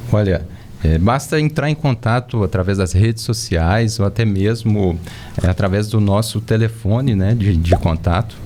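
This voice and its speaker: Low-voiced company guest. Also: male voice, moderate pace, a little hiss or hum. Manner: steady, peppered with "né". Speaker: company nutrition representative. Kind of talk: casual interview answers. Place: studio.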